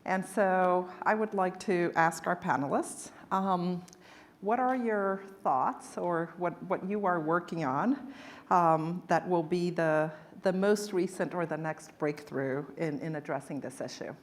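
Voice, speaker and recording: A woman's voice: fairly low pitch, measured pace. A woman moderating a conference panel, introducing the speakers and asking questions with frequent hesitations. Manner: hesitant, many ums. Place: conference stage.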